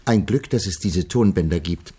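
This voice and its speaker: An actor playing an earnest, troubled gentleman in a German radio drama, polite and formal in a measured way. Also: low voice, a little hiss or hum, lightly acted. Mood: grave, remorseful.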